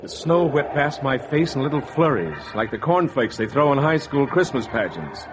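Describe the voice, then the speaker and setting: A man's voice: mid-range voice, sing-song, moderate pace, noisy background, close to an everyday voice. An actor playing a wry, polite young ex-soldier telling his story in 1940s radio acting style. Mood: wry, dramatic. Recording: dull vintage 1940s radio recording.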